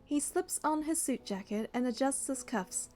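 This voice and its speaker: An actress playing a caring, curious young heroine who narrates and speaks in a romance game, measured and earnest. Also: female voice, sits high, lively intonation, dark tone. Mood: measured, observant. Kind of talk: first-person narration and dialogue in a romance game.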